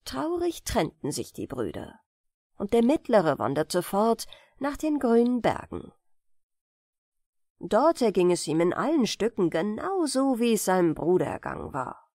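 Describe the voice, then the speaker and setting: A woman narrating a bedtime fairy tale in an expressive, deliberate storytelling manner. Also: mid-range voice, no echo, clean studio sound.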